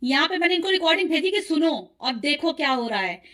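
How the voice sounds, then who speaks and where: A woman's voice: sits high, very animated, fast, fairly bright tone. A woman vlogger giving heated commentary to viewers, accusatory and insistent as she addresses them directly. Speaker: vlogger presenting call recordings.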